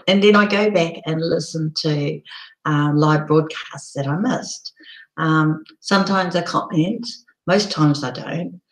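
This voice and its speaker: A woman hosting a casual live broadcast, chatting loosely with many ums and restarts, her voice croaky and hoarse. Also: low-pitched, echoey room, poor audio. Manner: rambling, lots of ums and restarts. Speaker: live-stream host. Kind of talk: casual live-stream chat.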